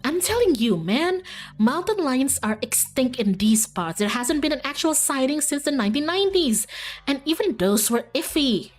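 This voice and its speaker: A woman's voice: high-pitched, sing-song, normal speed, faint music behind, voice pushed up. A woman voicing an eager, determined young female video maker who hunts monsters, earnest and insistent, in a game.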